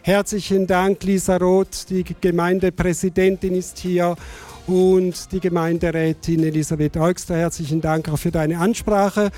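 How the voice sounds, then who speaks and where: A man, an organizer giving a formal thank-you speech at an exhibition opening, halting often to find his words. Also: sits high, flat delivery, darkish tone. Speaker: project organizer.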